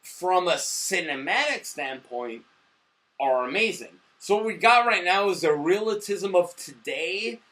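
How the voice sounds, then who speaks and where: A man hosting a casual review chat while clearly drunk. His voice is high and bright, and he talks in an excitable, rambling, emphatic way with plenty of swearing. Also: measured pace. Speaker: movie review host. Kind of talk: casual profane movie chat.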